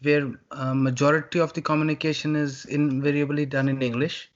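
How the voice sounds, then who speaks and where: A man, a guest recounting his own experience in a measured, hesitant way. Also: fairly high pitch, little pitch movement, muffled recording. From India. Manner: measured, hesitant with many uh's. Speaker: interview guest, former student.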